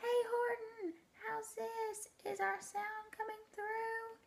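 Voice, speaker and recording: A woman's voice: very high voice, flat delivery, slow pace, creaky, roomy sound, pitched above the performer's own voice, exaggerated voice. A woman voicing a small, anxious male town mayor from a storybook, rallying his people in grand, declamatory fashion. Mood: anxious, calling out. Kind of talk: storybook read-aloud.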